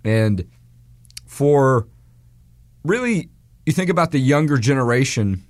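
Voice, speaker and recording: A man in his forties from Texas, hosting a radio talk show in a hoarse voice, rambling with restarts and fillers. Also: mid-range voice, lively intonation, slow, no room sound. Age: 40s.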